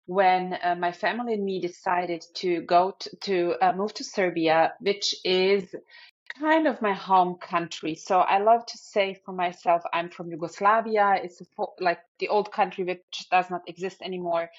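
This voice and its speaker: A woman's voice: lowish voice, normal speed. Woman on a muffled call line. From Germany. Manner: friendly, with hesitant fillers. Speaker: guest, feng shui practitioner. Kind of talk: interview answers.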